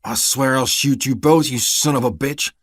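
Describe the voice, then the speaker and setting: A man's voice: medium pitch, animated, normal speed, no room sound, clean studio sound, voice pushed up, close to an everyday voice. A man doing a snarling bully deputy. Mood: furious. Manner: menacing, threatening. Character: brutal, bullying corrupt male deputy.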